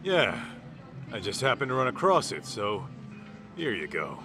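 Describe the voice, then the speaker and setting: A man's voice: wildly swooping pitch, a little slow, over music. An actor playing an easygoing, tough male private detective in a game dub, talking in a casual, cocky, protective way. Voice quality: low, sturdy voice. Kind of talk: English dub game cutscene acting.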